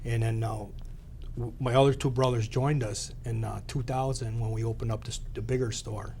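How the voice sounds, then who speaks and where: A man's voice: medium pitch, steady tone, fairly quick, warm tone, slight background noise. A man in his late forties, born in Italy, talking as a deli owner in a local interview, plain-spoken with many fillers. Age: 40s.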